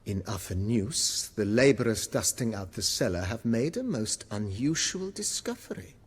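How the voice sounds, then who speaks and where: An animated, deferential estate majordomo. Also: male voice, lowish voice, normal speed, husky. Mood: polite, deferential. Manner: formal, ornate, servile phrasing. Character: courteous, deferential male estate majordomo, eager to please. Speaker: voice actor. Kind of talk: video game cutscene acting.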